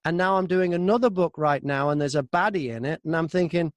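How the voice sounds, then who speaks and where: A British man talking casually in an interview as an audiobook narrator, telling stories in an animated way. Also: a little high, brisk, no echo.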